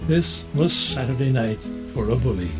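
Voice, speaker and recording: A man narrating a scripted comic adventure as a storybook narrator, in a measured, wry way. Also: medium pitch, animated, music in the background, close to an everyday voice.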